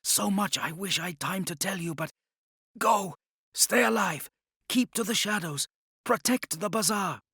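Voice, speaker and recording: A protective young male thief, cautious and brave, played by a man reading an audiobook. He speaks in a raised pitch with serious urgency, recorded dry and close. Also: a little slow, pushed and bright, slightly rough, no room sound, exaggerated voice. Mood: desperate, urgent. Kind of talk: audiobook character dialogue.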